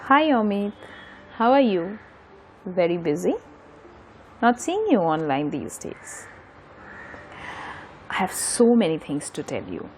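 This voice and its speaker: A woman's voice: on the low side, very animated, slow, pauses often, darkish tone, slight background noise. A woman playing a bookish, teasing young Indian student in an audio drama, with an Indian accent. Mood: excited, friendly. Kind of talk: scripted audio drama dialogue.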